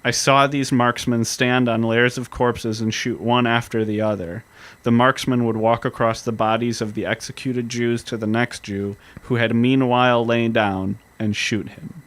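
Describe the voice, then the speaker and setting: A man co-hosting a casual history podcast, talking earnestly and reading historical accounts slowly. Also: medium pitch, level tone, fairly bright tone. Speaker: podcast co-host.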